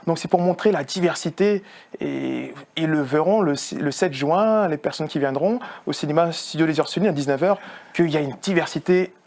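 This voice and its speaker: A man from France, a film producer, answering a TV interview in an earnest, halting way. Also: fairly high pitch, gravelly, darkish tone. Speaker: film producer and actor, guest. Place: TV studio.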